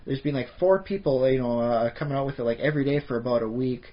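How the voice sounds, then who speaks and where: A man's voice: mid-range voice, fast. A man from Canada co-hosting a podcast, chatting casually about tabletop games and rambling with many fillers and restarts. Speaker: podcast co-host. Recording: dull lo-fi podcast audio.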